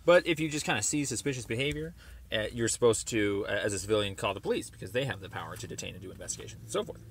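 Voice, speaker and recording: Halting, low-voiced man on a noisy call. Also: expressive. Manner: halting, wordy, circling explanations. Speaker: caller. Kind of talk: rambling phone conversation, explaining a complaint. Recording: noisy phone call recording.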